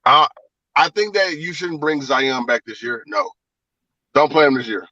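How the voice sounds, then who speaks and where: A man's voice: a little high, expressive, unhurried, sharp tone, no echo, dull audio. Male guest, easygoing caller, dry and distant. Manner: laid-back, swears casually. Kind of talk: casual sports chat. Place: outdoors in a driveway.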